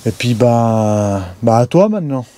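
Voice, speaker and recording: A man giving a casual, halting walkthrough of a camper van, full of fillers as he points things out, recorded inside the van on a body-worn camera. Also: mid-range voice, animated, warm tone. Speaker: vlogger touring a camper van.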